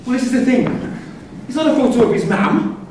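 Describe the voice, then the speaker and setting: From some way off in a heavily echoing room comes a man playing a wry, gossipy male prisoner. His voice is on the high side, and he talks like a droll storyteller in a live prison play. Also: fairly quick, darkish tone. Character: wry, chatty male prisoner telling anecdotes. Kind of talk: staged reading of a prison comedy-drama. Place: theatre room at a live staged reading. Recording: distant, very echoey hall, faint noise.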